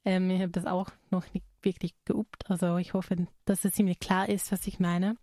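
An American woman from Texas, a native English speaker, hosting a live film talk in a learned language with an American accent, pausing and correcting herself often. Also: on the low side, level tone, dark tone, no echo, studio quality. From Texas, USA. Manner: hesitant, many fillers and self-corrections. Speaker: film show host.

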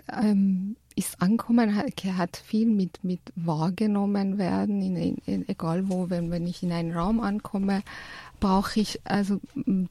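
Gravelly, mellow woman searching for words. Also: mid-range voice, no echo. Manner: thoughtful, hesitant, restarts with many fillers. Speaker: guest, counselor at a support center. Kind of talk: radio talk guest.

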